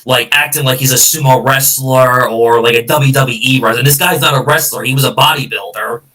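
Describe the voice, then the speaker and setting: A man hosts a casual film chat with a bright, sharp-edged voice at a medium pitch. He rambles and backtracks haltingly, and he sounds a little away from the microphone. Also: fairly even intonation, distant mic, low-quality recording. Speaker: podcast host, film buff.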